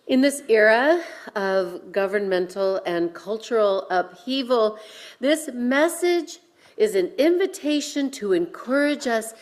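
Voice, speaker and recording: Slow, deliberate woman preaching a sermon. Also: fairly high pitch, lively intonation. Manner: deliberate, dramatic emphasis with pauses. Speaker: minister giving a sermon.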